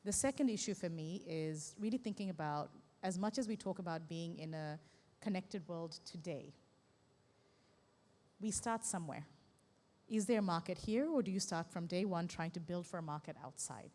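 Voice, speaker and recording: A woman from Southern Africa speaking as a conference panelist, explaining ideas in a measured, thinking-aloud way. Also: on the low side, a little mellow, in a large hall. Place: conference stage.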